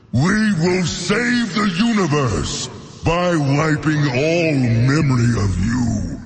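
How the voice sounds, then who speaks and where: An actor playing a vengeful, grandiose corrupted titan in a video game, declaiming slowly and with menace. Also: male voice, on the low side, a little mellow, exaggerated voice. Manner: slow, portentous, menacing declamation. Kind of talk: video game villain monologue. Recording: long cavernous reverb over music.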